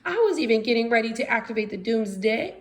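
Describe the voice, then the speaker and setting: A woman's voice: on the high side, lively intonation, lightly acted. A woman voicing a bossy, exasperated young girl mad scientist from a storybook, with dramatic, emphatic delivery. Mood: shocked, angry. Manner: dramatic, emphatic delivery with drawn-out stressed words. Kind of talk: storybook character voice in a read-aloud.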